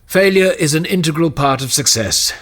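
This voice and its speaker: An actor playing a patient, shrewd mentor in an audio drama, with a wry, gently instructive manner. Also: male voice, a little high, expressive, moderate pace. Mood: patient, advising.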